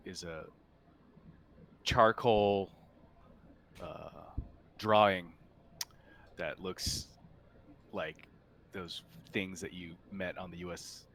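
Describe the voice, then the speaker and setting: Low-voiced man narrating a tabletop game. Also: bright, forceful tone. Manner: halting, casual phrasing. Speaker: game master.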